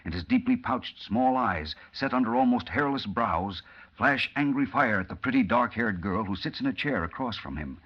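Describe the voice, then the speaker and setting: A man's voice: mid-range voice, lively intonation, moderate pace, slightly rough. A man giving formal, suspenseful scripted narration in the style of a 1940s radio serial. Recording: muffled old-time radio recording.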